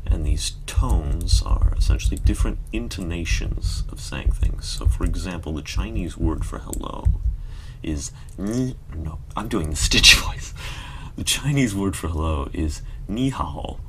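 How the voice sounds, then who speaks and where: A man's voice: deep voice, animated, a little slow, background noise. A man chatting casually and rambling in a self-deprecating way while playing a computer game on a live stream.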